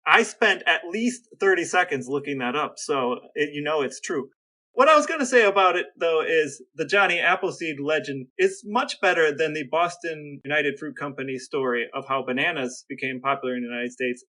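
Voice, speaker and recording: A man's voice: on the high side, brisk, bright, forceful tone, low-quality recording. A man co-hosting a casual podcast chat, explaining facts at length with dry jokes. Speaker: podcast co-host.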